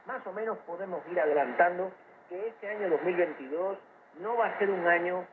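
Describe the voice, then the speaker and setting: Shortwave-muffled man, higher-pitched, through heavy noise. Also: brisk. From Cuba. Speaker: guest economist, professor. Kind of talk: live radio interview commentary on economics. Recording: noisy shortwave radio capture, narrow phone-like band. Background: loud shortwave static and noise.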